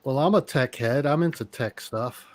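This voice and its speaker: A man with an average-pitched voice takes part in a casual live chat. He speaks in a halting way and agrees readily. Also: a little hiss or hum.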